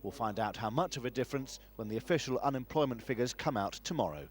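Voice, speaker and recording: A man's voice: medium pitch, expressive, quick pace. A man narrating a scripted television news report.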